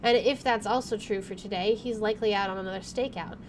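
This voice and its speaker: A woman hosting a gaming video, reading visual novel narration aloud in an expressive way. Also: mid-range voice, quick pace, slight background noise. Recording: faint background game ambience.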